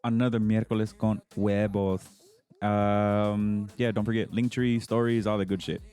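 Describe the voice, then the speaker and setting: A man's voice: lowish voice, flat delivery, a little slow, warm tone, clear, resonant tone. A young man co-hosting a casual podcast, joking and teasing, swearing freely and slipping between English and Spanish. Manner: joking, playful teasing, profane. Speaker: podcast co-host.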